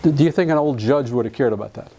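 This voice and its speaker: A man's voice: medium pitch, quick pace, mellow. Folksy man teaching a class. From Alabama, US South. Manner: folksy, jokey, quizzes the class. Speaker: real estate contract instructor. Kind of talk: live classroom lecture.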